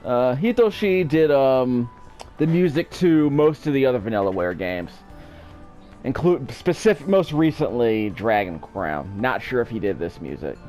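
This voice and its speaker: A man's voice: mid-range voice, lively intonation, moderate pace, dark tone, faint music behind. A man giving casual, offhand game commentary, wryly thinking aloud as he plays. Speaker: let's play commentator.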